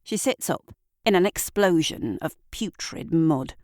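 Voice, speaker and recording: A woman narrating an audio drama in a deliberate, theatrical storytelling manner, with dramatic pauses. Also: lowish voice, expressive, darkish tone, no room sound, studio quality. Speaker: narrator and writer.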